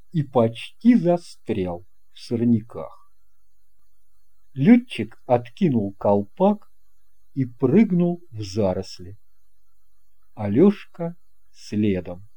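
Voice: mid-range voice, warm tone. A narrator reading a fairy tale aloud as scripted storytelling. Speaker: audiobook narrator.

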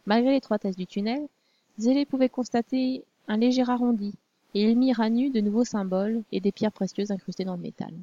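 A woman narrating a story in a measured, storytelling manner. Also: a little high, dark tone, no room sound, lo-fi sound. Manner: measured storytelling, also voices the princess. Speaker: audiobook narrator.

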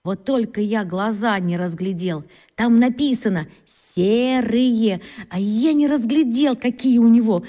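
A woman playing an excitable, boastful schoolboy who fancies himself a detective, telling his tales breathlessly in a children's radio drama. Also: a little high, measured pace. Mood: conspiratorial. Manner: breathless, boastful storytelling with exclamations.

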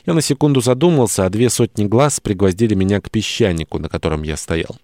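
A man's voice: fairly low pitch, fast talker, dark tone, dry recording, clean studio sound. A man reading a scripted first-person story as an audiobook narrator, acting out the dialogue as he goes.

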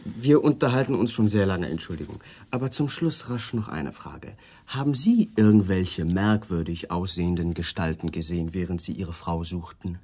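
A man's voice: low voice, normal speed, hardly any pauses, a little mellow, breathy edge. A man acting in a 1980s German radio crime play as a persistent police detective, coolly polite and suspicious, putting pointed questions. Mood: probing, insistent. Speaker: actor in a radio crime drama.

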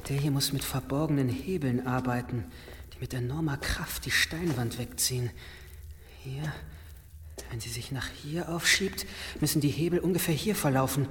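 A man's voice: medium pitch, moderate pace, fairly bright tone, close to an everyday voice. An actor playing an obsessive, learned man in an audio drama, muttering and interrupting himself as he works. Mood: absorbed, excited. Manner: muttering, absorbed, self-interrupting. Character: obsessive learned man, excited by hidden mechanisms. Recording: long cavernous reverb, faint noise.

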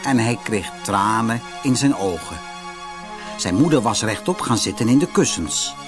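A man narrating a scripted fairy tale as an expressive audiobook storyteller. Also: on the low side, moderate pace. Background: music.

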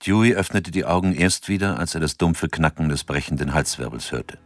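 An actor playing a narrator in a radio drama, reading scripted narration in a sober, measured and factual way. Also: male voice, rumbling low, dry recording.

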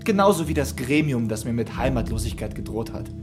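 A man's voice: mid-range voice, lively intonation, a little fast, close to an everyday voice. A man playing a defiant young son. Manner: defiant, earnest. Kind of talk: radio play acting. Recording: music behind.

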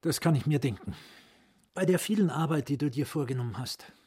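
An actor playing an earnest, authoritative gentleman with an emphatic, direct manner in a German radio drama. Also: on the high side, fairly quick, husky.

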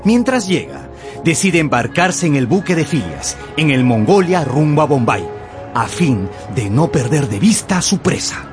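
A man's voice: a little high, sing-song, moderate pace. A man narrating a radio drama with theatrical, emphatic storytelling. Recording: music behind the voice.

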